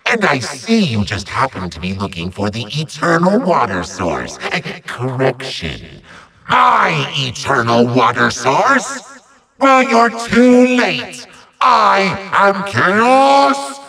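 An actor playing a pompous, short-tempered evil sorcerer villain in a video game, with a gravelly, nasal voice and bombastic, sputtering theatrical delivery. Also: male voice, fairly high pitch, bright, forceful tone, recorded from a distance, full character voice, poor audio. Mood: sneering, smug.

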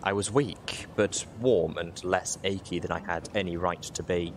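A man with a low voice narrates a story in the first person. His reading is dramatic, like an audiobook, and sits deep in pitch. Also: steady tone, unhurried, slight background noise.

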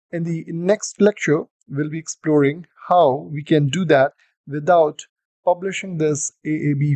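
A man's voice: fairly high pitch, no room sound. Man talking through a coding tutorial. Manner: steady explanatory walkthrough with fillers. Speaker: coding instructor. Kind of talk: screen-recorded tutorial.

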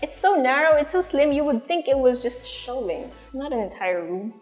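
A woman's voice: high voice, moderate pace. A young woman with a Filipino accent presenting a house tour in a casual, conversational way, walking through large empty rooms. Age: young adult. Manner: chatty, conversational. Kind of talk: casual real estate walkthrough presentation. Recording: long reverb of an empty room. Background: music.

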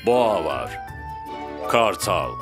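Kindly talking cartoon tower: very deep, gentle storyteller, lively inflection, music underneath. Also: female voice, slow pace, exaggerated voice. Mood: storytelling. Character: kindly older talking tower who tells star stories. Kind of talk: cartoon dub. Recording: music behind the voice.

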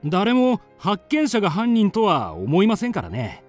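Earnest amateur sleuth, highly animated. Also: male voice, soft background music, full character voice. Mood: confident accusing. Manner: deliberate, dramatic, polite. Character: earnest young male amateur sleuth, confidently accusing his friend. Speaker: narrator and lead character. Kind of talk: radio drama acting and narration.

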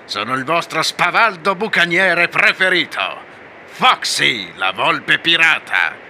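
Guy doing a boastful pirate fox robot, bright sharp voice, slow and swingy, faint hiss. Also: on the high side, very animated, exaggerated voice. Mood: swaggering. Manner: bellowing, laughing pirate bluster. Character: swaggering male pirate fox animatronic, boastful. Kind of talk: fan dub voice acting.

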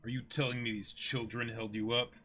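A man voicing a contemptuous pirate captain, stern and measured, in a dramatic manga reading. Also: medium pitch, little pitch movement, sharp tone. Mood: cold stern. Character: cold, contemptuous pirate captain scolding underlings.

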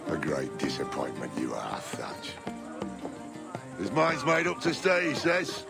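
An actor playing a bitter, scornful pirate captain in a video game, gravelly and full of contempt. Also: male voice, extremely low pitch, a little slow, full character voice. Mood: scornful, angry. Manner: cursing, contemptuous. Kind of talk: video game cutscene acting. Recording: music behind the voice.

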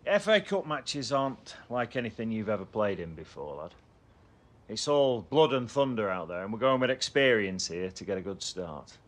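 An actor playing a stern, blunt middle-aged football manager in a video game cutscene. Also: male voice, lowish voice, big pitch swings, normal speed, fairly bright tone. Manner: blunt, no-nonsense.

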